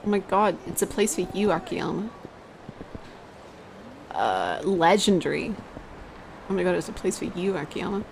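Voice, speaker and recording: A woman in her twenties chatting casually as she livestreams a video game, with an expressive, giggly, exclamatory way of talking. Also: on the low side, normal speed, creaky, long pauses, a little hiss or hum. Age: 20s. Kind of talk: casual livestream chat over gameplay, reading out comments. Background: video game music.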